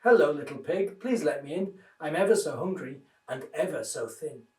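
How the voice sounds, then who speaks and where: A man voicing a big bad hungry wolf in a children's storybook, greedy and menacing, with a deep growl. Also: lively intonation, moderate pace, darkish tone, close to an everyday voice. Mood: wheedling. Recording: roomy echo, distant microphone.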